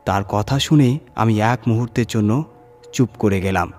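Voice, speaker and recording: A man's voice: lowish voice, moderate pace, darkish tone. A man reading a scripted first-person horror story as its narrator. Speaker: story reader. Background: background music.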